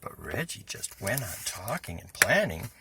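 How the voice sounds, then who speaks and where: A man reading a children's story aloud as an expressive narrator. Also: on the low side, normal speed, heavy background noise. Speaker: storybook reader.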